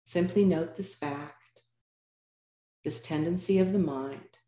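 A woman leading a guided meditation as a yoga nidra teacher, speaking calmly in measured, unhurried phrases with pauses. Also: sits low, roomy sound.

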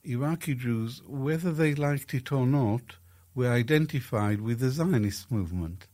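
Older male interviewee from Iraq, warm low tone, deliberate pacing with small pauses. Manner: measured, deliberate, frequent "uh". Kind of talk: archival interview clip.

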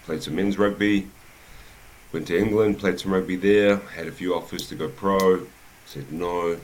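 A man with a New Zealand accent, a rugby coach telling his life story as an interview guest, in an unhurried way with many pauses. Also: low-pitched, steady tone, a little hiss or hum, rough recording.

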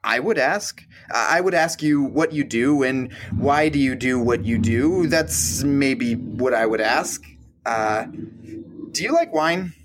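An actor playing a shy, awkward young map conservator in a romantic comedy audio play, stammering and rambling nervously. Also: male voice, mid-range voice, slow, background noise, lightly acted. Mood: shy, hopeful. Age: young adult.

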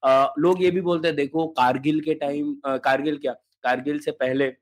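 A man's voice: fairly high pitch, fairly even intonation, normal speed. A man with a sore throat co-hosting a podcast, giving conversational analysis and reasoning step by step. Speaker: podcast co-host.

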